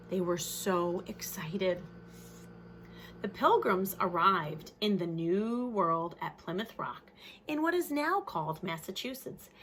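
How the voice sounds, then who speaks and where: A woman reads a picture book aloud to young children in an expressive way, adding simple, friendly-worded explanations as a teacher would. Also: medium pitch, a little hiss or hum.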